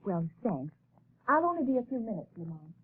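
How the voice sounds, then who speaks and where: A woman's voice: mid-range voice, very animated, brisk, breathy edge. An actress playing a worried young woman, earnest and anxious in a 1930s radio drama. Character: Margo Lane, worried young woman friend. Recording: tinny 1930s radio broadcast, faint hiss.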